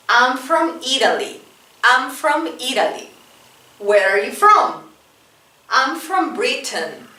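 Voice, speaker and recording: Woman, English teacher, slow and patient, roomy sound from a distance. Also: high-pitched, sharp tone. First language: Spanish. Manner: slow, patient drilling with frequent "right?" tags. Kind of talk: classroom-style English lesson with repetition drills.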